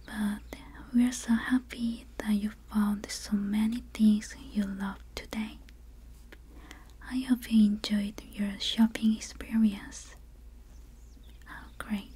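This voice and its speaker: A woman whispering softly and breathily in an ASMR roleplay as a polite, gentle shop clerk. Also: a little high, husky. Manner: polite, gentle customer-service phrasing.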